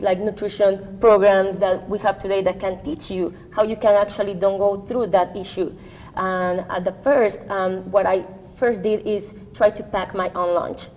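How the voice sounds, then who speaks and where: A young woman from Costa Rica with a strong Spanish accent, answering as a guest on a community talk show and pausing often as she searches for words. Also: medium pitch, in a large hall. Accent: Costa Rican Spanish. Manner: hesitant, many pauses with um. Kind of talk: interview answer.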